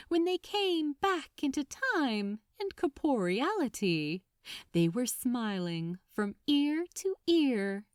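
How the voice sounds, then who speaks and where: Female story narrator, slow and dramatic, lingering on phrases, lively melodic delivery. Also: a little high, no echo, studio quality. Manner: expressive, unhurried, lingering on phrases. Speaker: audiobook-style narrator of fan fiction.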